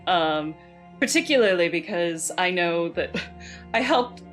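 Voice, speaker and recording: A woman's voice: fairly low pitch, lively intonation, slow pace, fairly bright tone, soft background music. A woman chatting casually as a panel guest, telling anecdotes in a playful, jokey way. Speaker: game writer, panel guest.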